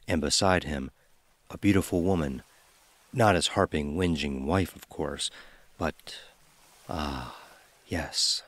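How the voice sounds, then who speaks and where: A man narrating a scripted dark audio story. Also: rumbling low.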